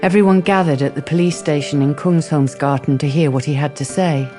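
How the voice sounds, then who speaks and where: A woman's voice: low voice, steady tone, fairly quick, music in the background. A woman narrating a radio drama from a script.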